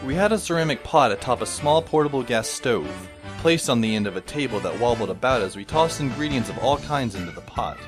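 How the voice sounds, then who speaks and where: A man's voice: medium pitch, close to an everyday voice. A man playing a wry, sardonic teenage boy narrating a fan audio drama with dry, sarcastic asides.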